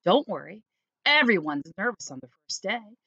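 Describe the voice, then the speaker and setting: A woman voicing a gushing, brisk, welcoming female school principal in a storybook. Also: mid-range voice, very animated, gravelly, pushed and bright, no echo, lo-fi sound, full character voice. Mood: reassuring. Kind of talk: storybook character voice.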